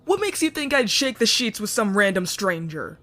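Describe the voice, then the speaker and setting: A man's voice: sits high, big pitch swings, normal speed, bright, forceful tone, full character voice. A man playing a naive, indignant, teasing nineteen-year-old prince in a fantasy audio drama. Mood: baffled, shocked.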